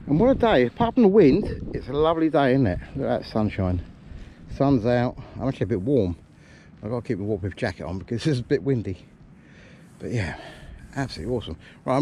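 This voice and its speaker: A man's voice: medium pitch, a little mellow. A middle-aged man chatting casually and informally, vlogging outdoors in an open field. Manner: informal, colloquial, matey. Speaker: metal detecting vlogger. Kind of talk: casual vlog chat and commentary. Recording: windy outdoor noise on action camera.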